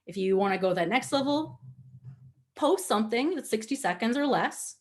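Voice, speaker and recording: A woman with a Nova Scotian Canadian accent teaching an informal online class in an animated, candid, jokey and chatty way. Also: a little high, moderate pace. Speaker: social media instructor. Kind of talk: informal webinar teaching with casual asides.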